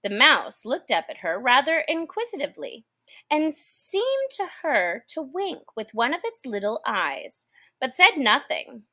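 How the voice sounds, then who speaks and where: A woman narrates a children's story. Her voice is high and very animated in pitch, and she takes her time, reading slowly and expressively. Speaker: storybook reader.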